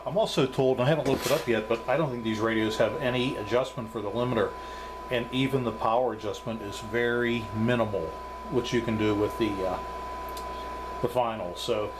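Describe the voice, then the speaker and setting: A man with a mid-pitched voice talks through a hands-on equipment review over clearly audible noise. His delivery is casual and unscripted, hesitating as he thinks aloud. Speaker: reviewer demonstrating radio equipment. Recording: clearly noisy background.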